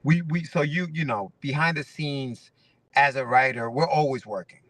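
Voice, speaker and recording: A man's voice: medium pitch, normal speed, fairly bright tone, far from the mic, a little hiss or hum, low-quality recording. A man in his forties from Ecuador, a guest comic writer chatting casually in an interview, rambling with many restarts and fillers. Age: 40s.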